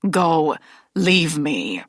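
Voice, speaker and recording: A woman voicing an ancient male mentor who speaks in a hoarse, insistent whisper. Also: low-pitched, big pitch swings, very slow, no echo, studio quality, heavily acted. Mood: hoarse intensity. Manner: insistent, commanding. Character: ancient male mentor, hoarse, stern, nearly two centuries old.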